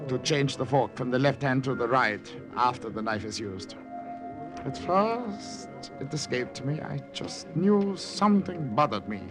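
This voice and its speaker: An actor playing a suspicious, domineering German army captain with a German accent and slyly jovial menace, in 1950 radio drama style. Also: male voice, mid-range voice, expressive, a little slow, lightly acted. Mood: menacing. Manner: impatient, teasing, insinuating, with sly chuckles. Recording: vintage radio recording with music.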